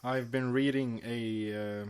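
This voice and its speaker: A man's voice: medium pitch, steady tone, slow pace, a little mellow, smooth. A man co-hosting a podcast, reading fan fiction narration and chatting casually. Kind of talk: story reading and casual chat.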